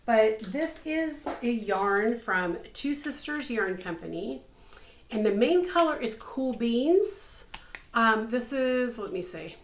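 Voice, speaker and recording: Chatty, slightly hoarse woman host. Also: fairly high pitch, animated, slow, slight background noise. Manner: chatty and upbeat, many ums. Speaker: podcast host, crochet designer. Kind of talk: casual craft podcast chat. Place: bedroom craft room at home. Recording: echoey room.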